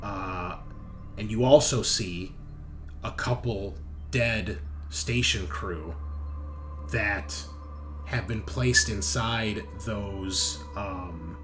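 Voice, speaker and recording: A man narrating a sci-fi horror tabletop game as game master, speaking haltingly and thinking aloud with pauses. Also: lowish voice, fairly bright tone, soft background music.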